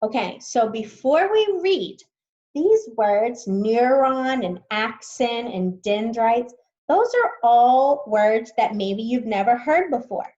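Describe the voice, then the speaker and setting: A woman's voice: a little high, lively intonation, slow, darkish tone, lo-fi sound. A woman teacher reading a science text aloud to students, patiently explaining new words as she goes.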